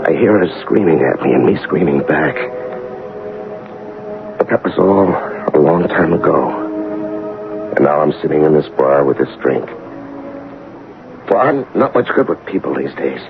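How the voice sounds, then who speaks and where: An actor playing a brooding, lonely man drinking in a bar, halting and introspective, in a radio drama monologue. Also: a bass voice, animated, vocal fry, exaggerated voice. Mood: brooding, haunted. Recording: narrowband old radio recording over music.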